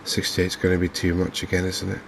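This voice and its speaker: Softly spoken English male game host, flat and hushed delivery, gravelly fry, faint background noise. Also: low-pitched. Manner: gentle, unhurried, hushed. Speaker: gaming video host. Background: fishing game ambience.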